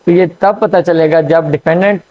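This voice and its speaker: A man giving a law lecture, explaining slowly with frequent fillers and checks. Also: fairly high pitch, fairly even intonation. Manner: hesitant, frequent fillers and checks "theek hai". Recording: dull lo-fi recording.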